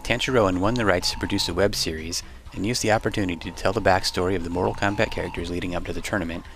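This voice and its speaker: A man's voice: deep voice, steady tone, racing, a bit bright. A man reading scripted documentary narration over background music. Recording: music under the voice.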